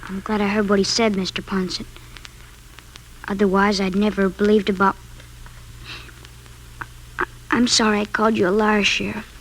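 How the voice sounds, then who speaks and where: A performer playing an earnest, troubled young frontier boy with an American accent and halting speech in a 1950s radio Western. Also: medium pitch, little pitch movement, lightly acted, rough recording. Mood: apologetic, troubled. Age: child or youth. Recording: old radio broadcast, faint noise.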